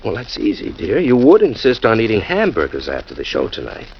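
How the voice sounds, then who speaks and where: An actor playing a teasing, exasperated young husband, quick to grumble, in 1940s radio acting style. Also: male voice, on the low side, huge pitch swings, vocal fry, slightly rough, exaggerated voice. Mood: wry. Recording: muffled old radio recording with hiss.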